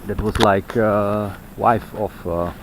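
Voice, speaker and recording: A man giving an unscripted, rambling monologue while walking outdoors, halting and fragmented as he searches for words. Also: on the low side, fairly even intonation, very noisy. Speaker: vlogger. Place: outdoors on a walk in nature.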